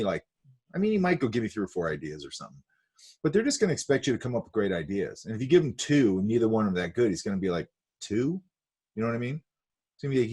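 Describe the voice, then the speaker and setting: Husky guy teaching art on a video call, sniffly, lots of ums and restarts. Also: mid-range voice, a little mellow. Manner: rambling, many fillers and restarts. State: sniffly, coming down with a cold. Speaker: art instructor. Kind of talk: online class lecture.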